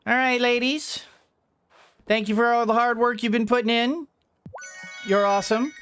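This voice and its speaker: A gaming video host giving casual let's-play commentary, thinking out loud in fragments with playful asides. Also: mid-range voice, steady tone, normal speed, faint music behind.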